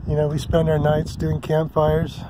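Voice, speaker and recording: A man hosting a casual camping vlog from a mountain meadow, talking in a relaxed, rambling way with many ums and uhs. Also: fairly high pitch, normal speed, background noise. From Hawaii. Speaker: vlog host. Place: open mountain meadow campsite outdoors.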